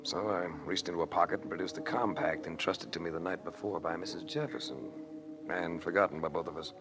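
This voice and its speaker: An American actor playing a suave, hard-boiled adventurer who narrates in a wry, clipped old radio detective style. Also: male voice, low voice, fairly even intonation, a little fast, natural voice. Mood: wry narration. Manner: wry, smooth, clipped noir delivery. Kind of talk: 1940s-50s radio detective drama acting. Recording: hissy old-time radio recording.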